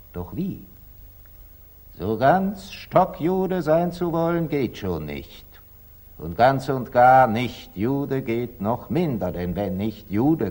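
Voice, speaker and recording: An actor playing a shrewd, cautious, dignified merchant with a measured, husky delivery in a 1940s German radio drama. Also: male voice, lowish voice, darkish tone, heavily acted. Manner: measured, pondering, guarded.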